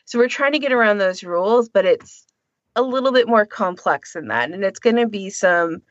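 A woman's voice: mid-range voice, animated, normal speed, no echo. A woman co-hosting a podcast, talking casually in a discussion. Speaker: podcast co-host. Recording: dull, lo-fi audio.

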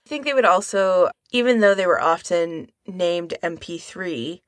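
A woman's voice: on the low side, moderate pace, no echo. A woman co-hosting a podcast in casual discussion, talking tentatively and carefully qualifying what she says. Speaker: podcast co-host.

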